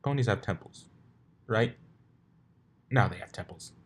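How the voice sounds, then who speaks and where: A man's voice: on the low side, measured pace, slightly rough. A man narrating a scripted story as an amateur reader, informal and given to wry asides. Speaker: amateur fan fiction reader.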